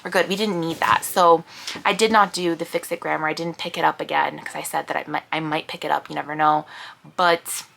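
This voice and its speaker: A woman vlogging about her homeschool year in casual chat, hoarse from losing her voice and laughing often as she rambles. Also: sits low, steady tone, fairly quick, a bit bright.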